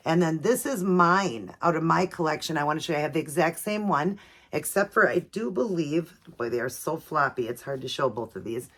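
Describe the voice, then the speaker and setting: A woman from the with a low-pitched voice, hoarse and raspy because her allergies are acting up. She talks to her viewers in a loose, chatty way, often pausing with um and you know. She sounds middle-aged. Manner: chatty with many fillers. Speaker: doll channel host. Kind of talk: casual video chat.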